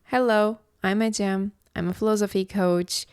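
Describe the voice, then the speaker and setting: A woman from Istanbul, hosting a reflective solo podcast as a philosophy coach, speaking in a slow, contemplative, measured way. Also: mid-range voice, fairly even intonation, clear, resonant tone, no echo, studio quality. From Istanbul, Turkey.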